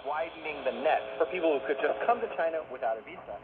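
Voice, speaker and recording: A man's voice: on the high side, expressive, fast talker. A man talking through opinions in a radio discussion, heard over shortwave. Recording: tinny shortwave radio, heavy static.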